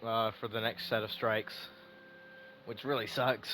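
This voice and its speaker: A man's voice: fairly low pitch, flat delivery, a little slow, sharp tone, slight background noise, rough recording. A man giving rambling, wry, casual reaction commentary on a video game story. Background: game music.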